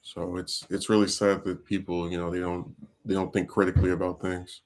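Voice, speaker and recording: A man's voice: low voice, flat delivery, a little fast, a little mellow. A man hosting a casual talk stream, venting about politics in a rambling way, full of fillers and restarts. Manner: frustrated, venting. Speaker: livestream host.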